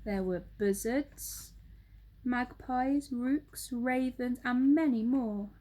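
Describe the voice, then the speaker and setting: A woman narrating a children's storybook aloud in an expressive, dramatic manner. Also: fairly high pitch, slow pace. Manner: animated, dramatic read-aloud.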